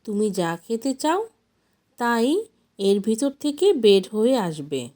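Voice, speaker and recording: Cocky, naive storybook village lad acted by a woman, high and animated, quick-tempered. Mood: boastful. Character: gullible, hot-tempered young village man.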